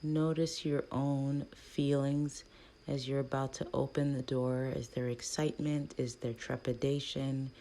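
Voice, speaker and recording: A woman leading a guided meditation in a gentle, hushed manner, speaking in short soothing phrases with long pauses between them. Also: extremely low pitch, flat delivery.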